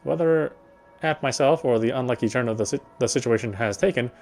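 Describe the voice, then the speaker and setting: A man narrates a visual novel as he plays, in a middling pitch. He reads the prose aloud in an unpolished way, sometimes correcting himself. Faint game music sits underneath. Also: brisk, gravelly, darkish tone. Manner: unpolished read with stumbles and corrections.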